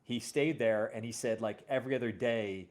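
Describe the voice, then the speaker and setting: A man's voice: medium pitch, moderate pace. A man hosting a casual conversation about music, talking in a rambling, self-correcting way. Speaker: host.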